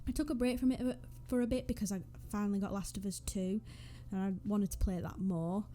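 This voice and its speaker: A woman's voice: medium pitch, fairly even intonation, brisk, warm tone. A young woman from northwest England with a Northwest English accent, chatting casually and rambling with self-deprecating asides over a game build. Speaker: Sims YouTuber. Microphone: USB desk microphone.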